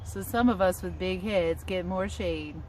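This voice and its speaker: A woman's voice: lowish voice, measured pace, slight background noise, poor audio. Woman teacher reading aloud outdoors. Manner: chatty, expressive asides and questions to listeners. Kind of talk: read-aloud lesson for young children. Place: outdoors in a yard.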